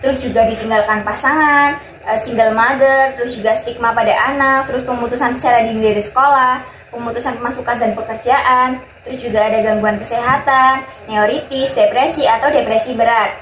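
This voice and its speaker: A young woman, a midwifery student giving a health education talk to a small group. She runs through her points briskly, linking them with little connecting words. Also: on the high side, steady tone, a bit bright. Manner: brisk listing, strings points together with "nah" and "terus juga". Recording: echoey room with background noise.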